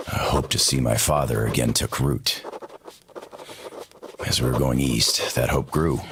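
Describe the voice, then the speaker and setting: An actor playing a weathered middle-aged American soldier, reflective and determined, narrating his longing for home in a video game monologue with a gravelly, very deep voice. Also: noisy background. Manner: reflective, measured.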